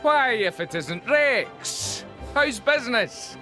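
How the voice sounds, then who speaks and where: An actor voicing a blunt, wry dock worker. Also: male voice, extremely high pitch, animated, slow, pushed and bright, faint music behind, full character voice. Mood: gruff. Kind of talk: video game voice acting.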